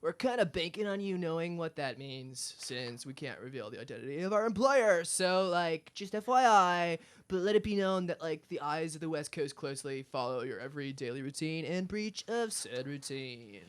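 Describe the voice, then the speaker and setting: A man's voice: fairly high pitch, animated, moderate pace, few pauses, smooth, natural voice. A man playing a laid-back, slangy West Coast surfer henchman who gets mock-wordy in a comedy radio serial. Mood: mellow, officious.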